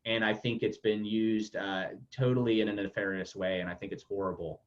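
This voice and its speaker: A man's voice: on the low side, fairly even intonation, a little fast. A man hosting a casual podcast interview, rambling with many fillers and repeated words. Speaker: podcast host. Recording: dull lo-fi audio.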